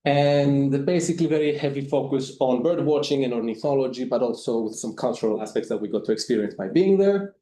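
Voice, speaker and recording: A man's voice: medium pitch, dark tone. Man presenting in an echoey room. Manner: halting, with frequent fillers. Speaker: volunteer presenter. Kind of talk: presentation talk.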